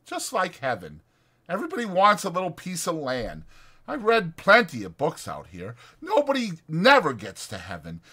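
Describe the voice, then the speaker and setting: A man's voice: high-pitched, pushed and bright, voice pushed up, exaggerated voice. A man voicing a lonely, bitter, scornful stable hand with brooding, emphatic, folksy rural speech in a dramatized audiobook. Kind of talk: dramatized audiobook character acting.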